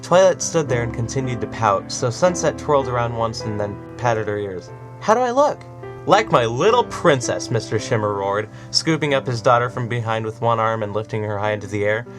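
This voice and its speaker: A man narrating a story in an expressive, animated reading. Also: on the low side, a little fast, few pauses. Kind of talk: audiobook reading of fan fiction. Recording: soft music behind.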